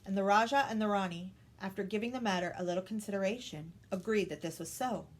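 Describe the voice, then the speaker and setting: A woman's voice: medium pitch, quick pace. A woman storyteller reading a children's story expressively.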